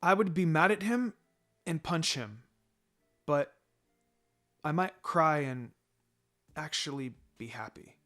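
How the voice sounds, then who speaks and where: A man's voice: fairly high pitch, lively intonation, slow pace, long pauses, fairly bright tone, soft background music. A man voicing a brash, moody young boy in a fan fiction story. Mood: defiant, vulnerable. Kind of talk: fan fiction character dialogue.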